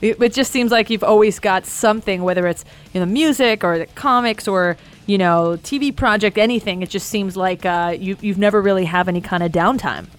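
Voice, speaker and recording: A woman's voice: medium pitch, brisk, few pauses. A woman hosting a radio show, giving polished announcer-style intros and asking her guest questions. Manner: upbeat, polished announcer delivery with some hesitant restarts.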